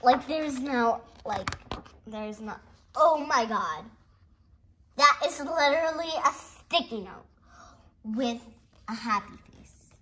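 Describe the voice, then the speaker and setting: A young girl hosting a read-along, reading a picture book aloud and reacting chattily in a giggly, excitable way. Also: a little high, slow, pauses often, slightly rough, low-quality recording. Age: child. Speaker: host of a read-along video. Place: indoors at a dark wooden table. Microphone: camera pointed down at the book.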